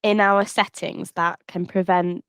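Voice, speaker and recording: A woman interviewer asking polite, measured questions with brief fillers. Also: on the low side, little pitch movement, a bit bright, clean tone, no echo.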